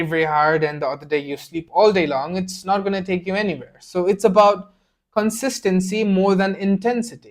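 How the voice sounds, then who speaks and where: A young man whose first language is Nepali, giving a blunt, earnest motivational talk to the camera and repeating phrases for emphasis. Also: a little high. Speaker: self-improvement video creator. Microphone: camera mic, speaking to camera.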